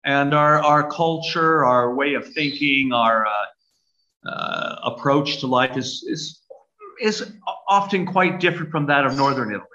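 An older man with a Brooklyn New York accent talks slowly and deliberately, often pausing on fillers. His voice is bright and a little sharp. The recording sounds dull and lo-fi. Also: medium pitch, animated. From United States. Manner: measured, hesitant with many "uh" fillers. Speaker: archbishop guest. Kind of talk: interview conversation. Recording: dull lo-fi audio.

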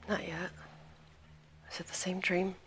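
An actress playing a loyal, concerned helper to a pastor in an audio drama, deferential and reassuring. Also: female voice, fairly low pitch, flat delivery, measured pace, background noise. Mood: gentle concern.

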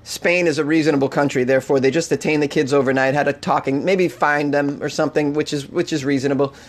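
A man's voice: a little high, steady tone, quick pace. Boston man hosting a joking podcast. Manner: rambling, joking, irreverent, laughs often. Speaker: podcast host. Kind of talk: comedic weird-news podcast monologue.

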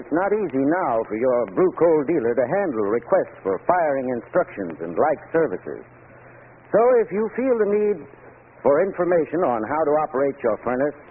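A man reading a scripted 1940s radio advertisement as the sponsor's expert, formal, measured and instructive. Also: mid-range voice, very animated, slightly rough. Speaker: sponsor's heating expert giving a commercial. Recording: tinny, hissy 1940s radio recording.

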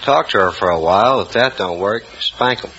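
A man's voice: on the low side, moderate pace. A plain-spoken man giving short, unscripted answers as a quiz show contestant. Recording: old radio recording, muffled, very noisy.

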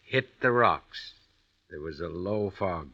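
An actor playing an obliging, plain-spoken caretaker in an old-time radio mystery drama. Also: male voice, low voice, expressive, slow pace, bright, forceful tone, muffled recording, exaggerated voice. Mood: uneasy, grave.

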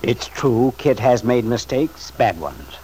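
An actor playing an earnest, reproachful middle-aged uncle in an old-time radio soap, speaking in a grave, moralizing, deliberate way. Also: male voice, medium pitch, very animated, a little mellow. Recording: noisy vintage radio drama recording.

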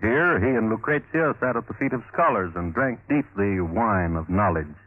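Low-pitched, deadpan crime storyteller. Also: male voice, normal speed. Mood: wry, deadpan. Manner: deadpan, wry. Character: Thomas Hyland, dry, wry male crime-history storyteller. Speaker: host and narrator. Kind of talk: 1950s radio scripted narration. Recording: tinny vintage radio recording.

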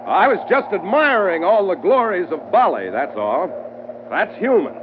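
American sea skipper on old radio. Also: male voice, high-pitched, big pitch swings, moderate pace, dull audio, noisy background. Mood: wry banter. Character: seasoned, wry sea captain narrating his voyage. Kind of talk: 1940s radio adventure acting, scripted narration. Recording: band-limited old radio recording, loud music behind.

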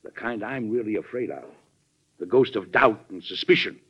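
An actor playing a troubled, plainspoken middle-aged American president in an old-time radio drama, speaking deliberately and with emphasis. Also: male voice, fairly low pitch, breathy edge. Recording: dull vintage radio recording.

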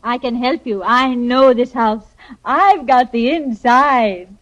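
An actress playing a beautiful, ancient, seductive vampire, flirtatious and theatrical, in a 1940s radio comedy. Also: female voice, a little high, slow, fairly bright tone. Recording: dull vintage radio recording.

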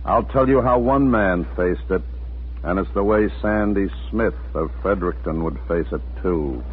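A man hosting a 1940s radio broadcast with dramatic, rhetorical scripted narration and weighty pauses. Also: sits low, a little hiss or hum. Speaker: host and narrator. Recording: old 1940s radio, tinny, narrow.

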